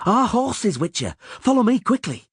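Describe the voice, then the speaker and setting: A man playing an urgent, forceful fighting woman in a fantasy audiobook, yelling commands. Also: sits high, very animated, moderate pace, breathy edge, no echo, studio quality, pitched above the performer's own voice, exaggerated voice.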